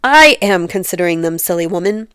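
A woman voicing a sardonic, dismissive middle-aged gentleman with a stern, pompous manner in a comic storybook reading. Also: lowish voice, lively intonation, a little fast, clean tone, dry recording, clean studio sound. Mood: stern, scornful.

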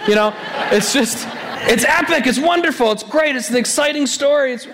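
A hoarse man with a raspy voice, a pastor preaching a conversational sermon with many restarts. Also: very high-pitched, normal speed, crowd noise, noisy background.

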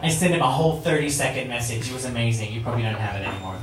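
A man co-hosting a comedy podcast, bantering in an animated, giggly way with quick jokes. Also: mid-range voice, a crowd in the background. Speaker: podcast co-host. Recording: echoey room, far mic, audience.

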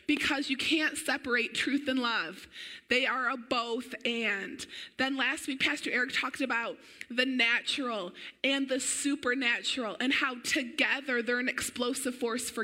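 A woman pastor preaching a sermon in an energetic, conversational way, her voice a little weak and often clearing her throat. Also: a little high.

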